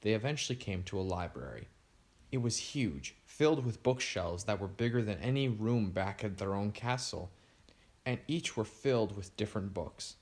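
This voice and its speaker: Low-voiced man reading a storybook. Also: normal speed. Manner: steady storytelling delivery. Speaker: narrator.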